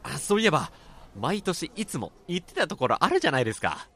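A man's voice: a little high, huge pitch swings, quick pace, a bit bright, slightly rough, exaggerated voice. A man playing a polite, easygoing junior office worker among friends in a Japanese radio drama. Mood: nostalgic, eager.

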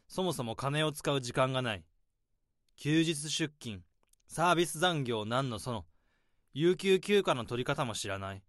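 A man in his thirties narrating a radio drama in the first person, in a slow, subdued, deadpan way. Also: medium pitch, no echo, clean studio sound. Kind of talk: radio drama inner monologue.

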